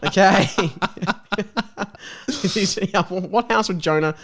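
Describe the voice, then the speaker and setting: A man's voice: high-pitched, slow pace, fairly bright tone. A man in casual comic chat, reading a story aloud and riffing on it in a jokey, giggly, playfully exaggerated way. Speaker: podcast co-host reading a fan fiction story aloud.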